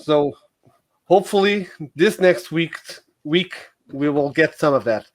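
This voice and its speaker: A man's voice: on the high side, a little slow, no echo. A man with a Portuguese accent, casually hosting a livestream chat with a guest and fans. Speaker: livestream host.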